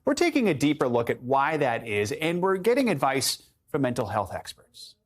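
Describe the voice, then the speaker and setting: A man's voice: medium pitch, big pitch swings, moderate pace. A man introducing a news story as anchor, composed.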